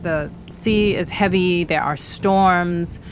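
A woman who grew up in East Africa, hosting and narrating a documentary podcast in a measured, earnest way. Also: lowish voice, a little hiss or hum.

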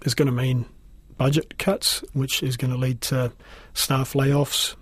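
A man with a New Zealand accent speaks in a measured, explanatory interview style. His voice is slightly husky and stays in a narrow pitch range. The recording is close and dry. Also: flat delivery, dry recording. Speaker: school principal being interviewed.

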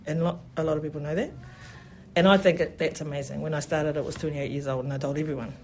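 New festival organiser, mid-pitched and enthusiastic, a rambling interview guest. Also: steady tone, quick pace, a little mellow, a little hiss or hum. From New Zealand. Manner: enthusiastic, rambling, many "you know" fillers. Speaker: festival event specialist. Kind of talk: radio interview.

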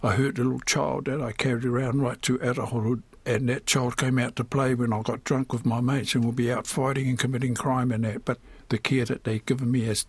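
An older New Zealand man with a husky, medium-pitched voice. He talks as a guest in a reflective interview, candid and colloquial, often dropping in "you know" and "eh". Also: flat delivery, a little fast, hardly any pauses, no room sound. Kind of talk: reflective personal interview talk.

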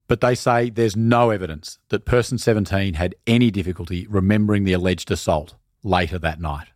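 A man narrating a scripted documentary podcast in a measured, serious way. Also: fairly low pitch, no echo, studio quality.

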